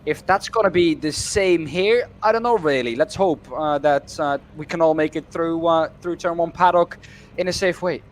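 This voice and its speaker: High-voiced male race co-commentator. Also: slight background noise. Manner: measured, analytical, many fillers.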